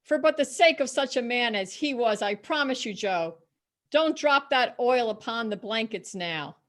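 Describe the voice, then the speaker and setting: A brazen Victorian charwoman played by a woman in a storybook reading. Her voice sits fairly high, and she is scornful, emphatic and entirely unrepentant. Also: lively intonation, normal speed, fairly bright tone. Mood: unashamed. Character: bold, defiant, unrepentant Victorian charwoman selling stolen goods. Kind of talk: dramatic reading of a classic story.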